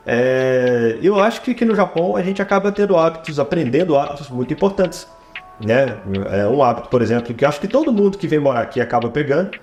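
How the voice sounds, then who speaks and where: A Brazilian man speaks in a somewhat high-set voice as an interview guest. He explains things conversationally and at length, often checking in with little tag questions. The room is audibly echoey, with guitar music playing clearly behind him. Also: lively intonation, fast, darkish tone. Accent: Brazilian Portuguese. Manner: talkative, explanatory, frequently adds tag questions. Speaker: interview guest, photographer and production director. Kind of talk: conversational interview answers with personal anecdotes.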